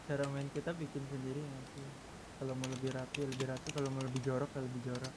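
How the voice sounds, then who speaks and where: A man's voice: completely flat delivery, fast talker. A man talking casually on gaming voice chat, repeating words as he reasons. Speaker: gamer discussing plans. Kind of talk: casual group chat during online roleplay gaming. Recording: noisy background rumble.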